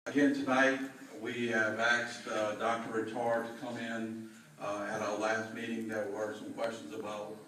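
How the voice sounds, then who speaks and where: A man moderating a public meeting, introducing the speaker with many halting pauses. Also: lowish voice, flat delivery, sharp tone, a little hiss or hum. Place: large public meeting hall. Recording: echoey hall taped from far off.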